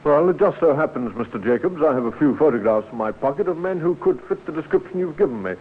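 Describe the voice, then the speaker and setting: An actor playing a courteous but firm police inspector, clipped and authoritative, in a 1950s radio drama. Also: male voice, on the high side, expressive, fast talker, lightly acted. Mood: businesslike. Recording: tinny, noisy 1950s radio recording.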